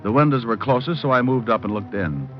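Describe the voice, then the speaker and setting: An actor playing a cocky, wisecracking hardboiled private detective who narrates with deadpan humor in an old-time radio drama. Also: male voice, medium pitch, quick pace, natural voice. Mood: wry narration. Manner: deadpan, flippant wordplay in narration and dialogue. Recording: muffled old radio recording with music.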